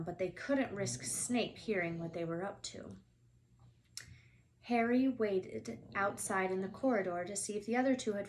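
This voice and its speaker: Woman narrator, middle pitch, expressive children's read-aloud, slight noise behind the voice. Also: low-quality recording.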